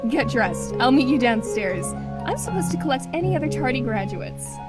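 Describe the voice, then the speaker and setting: A woman's voice: high voice, very animated, moderate pace. A woman voicing a playful, bubbly young graduate in a visual novel game, teasing her best friend. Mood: teasing, fond. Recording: music bed under the voice.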